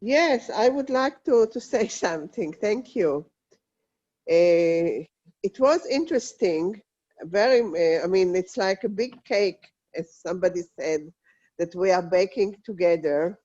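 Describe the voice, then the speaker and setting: A woman with a Hebrew accent speaking on an online panel, slow and halting with many fillers and restarts. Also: on the low side, expressive, a little mellow, dry recording. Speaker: conference panelist. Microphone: video call. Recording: dull lo-fi video call audio.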